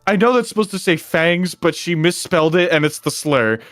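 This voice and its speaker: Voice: medium pitch, fairly quick, fairly bright tone, dry recording, studio quality, faint music behind. A giggly co-reader on a livestream, chatting casually and interjecting jokes around a comic dramatic reading.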